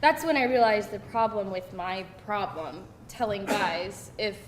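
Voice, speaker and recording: A woman's voice: unhurried, natural voice. A woman acting a candid, sarcastic young woman at a live screenplay read, in a conversational style. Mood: candid, animated. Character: candid, wry, sarcastic young woman recounting her teens. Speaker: actor at a screenplay table read. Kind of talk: live read of conversational comedy-drama dialogue. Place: live table read in a room. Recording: roomy, distant mic, faint rumbling noise.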